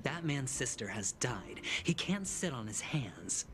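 A man's voice: mid-range voice, big pitch swings, unhurried. A man voicing a sly, theatrical young gambler in a video game, smug and playful, with a teasing drawl. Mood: scheming. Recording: game music behind the voice.

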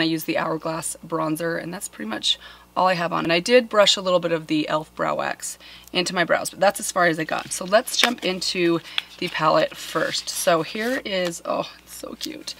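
Thirties female beauty vlogger, slightly low voice roughened by a cold, casual and enthusiastic. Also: a little hiss or hum. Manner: chatty, enthusiastic, drops to soft murmurs when reacting. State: has a cold, voice deeper than usual. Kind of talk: casual makeup review to camera. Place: small indoor filming set with plants.

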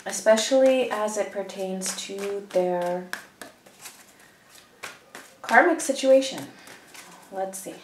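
A woman's voice: mid-range voice, slow pace, pauses often, recorded from a distance, noisy background. Stuffy-nosed woman reading tarot cards. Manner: chatty, meandering, many ums and restarts. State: stuffy from a cold. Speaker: tarot reader. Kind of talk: casual tarot reading to camera. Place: indoors at a table, room with echo. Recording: echoey room, mic set back.

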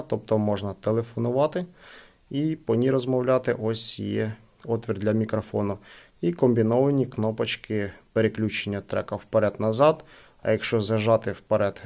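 A man giving a casual, hands-on product review, speaking haltingly as he thinks aloud. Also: fairly low pitch, vocal fry, darkish tone. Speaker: gadget unboxing reviewer.

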